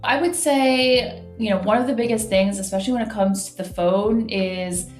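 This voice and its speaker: A woman's voice: mid-range voice, normal speed, soft background music. A woman guest giving conversational business explanations in an interview, often tagging phrases with "like" and "right?". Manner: conversational, frequent "like" and "right?" tags. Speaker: guest, marketing executive. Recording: roomy, echoey sound.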